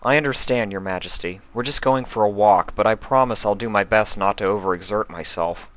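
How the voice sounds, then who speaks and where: A man's voice: lowish voice, brisk, a bit bright, natural voice. A man voicing an earnest, protective young male pony in a plain-spoken manner for a cartoon fan story.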